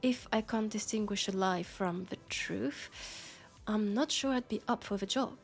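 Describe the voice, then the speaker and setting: A woman with a French accent voicing a sharp, scolding but caring young policewoman in an intimate sci-fi roleplay audio drama. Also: mid-range voice, a little slow, soft background music, lightly acted. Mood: probing, confident.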